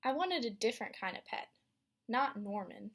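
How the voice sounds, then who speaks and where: Young female student teacher, expressive picture-book reader, slightly high voice. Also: moderate pace. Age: young adult. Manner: expressive storytelling with teacher questions. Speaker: student teacher reading aloud. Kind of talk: picture book read-aloud to a class.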